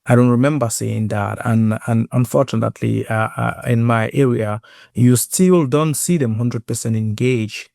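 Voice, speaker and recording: A man from Cameroon with a Cameroonian accent, telling his story as an interview guest in an earnest, halting way with repeated words. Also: mid-range voice, creaky, a little mellow, no room sound, studio quality. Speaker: guest, sports inclusion program lead.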